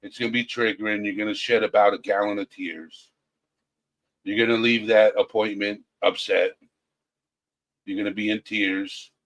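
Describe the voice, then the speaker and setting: Man guest, direct and earnest, low-pitched, repeats key points. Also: little pitch movement, moderate pace, pauses often, fairly bright tone. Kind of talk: casual chat and advice.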